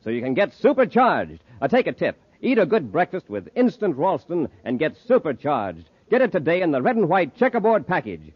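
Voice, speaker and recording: A steady, commanding space officer in a fifties radio serial. He is authoritative and clipped, with a husky edge. The recording is dry and muffled. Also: fairly high pitch, a little fast, hardly any pauses, dry recording, exaggerated voice. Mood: hearty. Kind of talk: 1950s radio serial acting.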